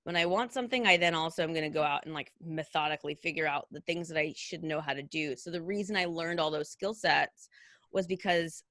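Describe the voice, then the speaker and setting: A woman in her thirties talks in a low voice with a bright, forceful edge. She chats loosely and lets "like" and "you know" run through her sentences. The sound is dry and close. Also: a little fast, no room sound. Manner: chatty, many fillers like "like" and "you know". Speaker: guest, costume designer. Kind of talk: casual conversational interview.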